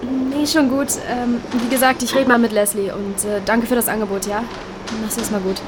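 Actress as troubled young woman, highish voice, stubborn, sarcastic, hesitant, colloquial, audio drama. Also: steady tone, normal speed, background noise, natural voice. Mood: evasive. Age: young adult. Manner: hesitant, colloquial, mumbled asides. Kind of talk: naturalistic audio drama dialogue.

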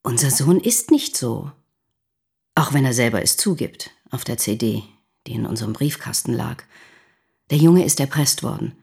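A defensive mother in denial in a radio drama. Her low, very breathy voice creaks as she makes excuses for her son. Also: darkish tone, exaggerated voice.

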